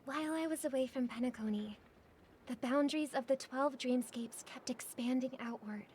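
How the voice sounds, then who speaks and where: An actress voicing a poised young woman singer in a story game. She speaks high and soft, composed and earnest. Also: normal speed, a little hiss or hum, natural voice. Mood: calm. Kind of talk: game voice acting.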